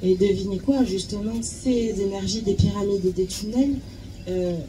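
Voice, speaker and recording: A woman's voice: medium pitch, normal speed, soft background music, low-quality recording. A woman working as a live interpreter, hesitant, often repeating words as she searches for the phrasing. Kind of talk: consecutive interpreting. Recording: clear steady background noise.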